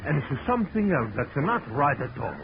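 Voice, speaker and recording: An excitable Italian circus owner in an old radio play. His voice swings up and down as he dotes effusively in broken English. The recording is dull and noisy. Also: on the high side, normal speed, gravelly. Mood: agitated, proud. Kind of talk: 1940s radio acting.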